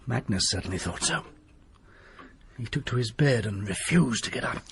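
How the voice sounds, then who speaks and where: Gravelly, frantic haunted doctor. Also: male voice, mid-range voice, animated, a bit bright, a little hiss or hum. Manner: pleading, theatrical, quick to rage. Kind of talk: period radio drama acting.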